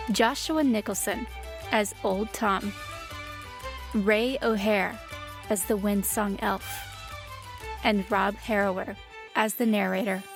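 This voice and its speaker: A woman's voice: mid-range voice, creaky. A woman reading a scripted closing announcement for an audio drama.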